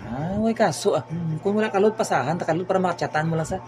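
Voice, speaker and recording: Fast-talking easygoing coworker, played by a man. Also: sits high, darkish tone, close to an everyday voice. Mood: casual. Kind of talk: amateur audio drama.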